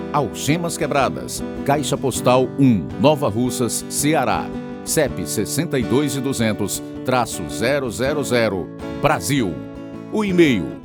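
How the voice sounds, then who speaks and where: A man with a Brazilian accent reading a scripted radio announcement in a formal, clearly paced way. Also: medium pitch.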